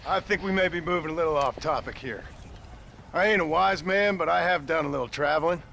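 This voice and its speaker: A man's voice: on the high side, a little fast, vocal fry, pushed and bright, a little hiss or hum. A man playing a weary, sardonic gunslinger with a drawl in a video game cutscene. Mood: dry, sardonic. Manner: dry, sarcastic, polite drawl.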